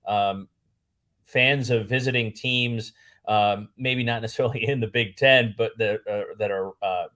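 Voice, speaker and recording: Guy from pennsylvania, kinda deep voice, earnest interview talk, stacks up lists and repeats bits. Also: steady tone, a little slow, a bit bright. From Pennsylvania, United States. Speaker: public address announcer and podcast guest. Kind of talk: conversational interview answer.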